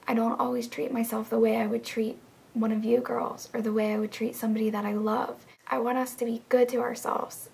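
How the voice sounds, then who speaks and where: A young woman who is losing her voice, talking earnestly and encouragingly to her friends in a casual video message, often slipping into a breathy, whispery delivery. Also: fairly high pitch, darkish tone. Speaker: vlogger in a group channel.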